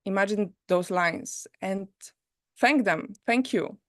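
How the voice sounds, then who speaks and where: A woman from Poland with a Polish accent hosts a live online premiere and guides listeners through a gentle reflection in a soft, inviting way over a video call. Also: mid-range voice, slow, no room sound, clean studio sound. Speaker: host.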